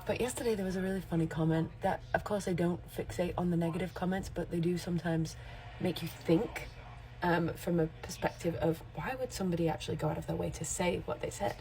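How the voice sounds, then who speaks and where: A woman's voice: on the low side, fairly even intonation, fast, a little mellow, poor audio. A woman in her forties, a makeup artist talking candidly to camera in a rambling, self-correcting way. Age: 40s. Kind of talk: casual chatty vlog.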